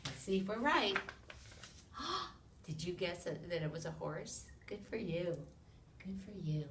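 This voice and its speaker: An American woman reads a picture book aloud to young children in an expressive way, with sound effects, questions and hushed asides to her listeners. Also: lowish voice, measured pace. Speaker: children's read-along reader. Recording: roomy, distant mic with noise.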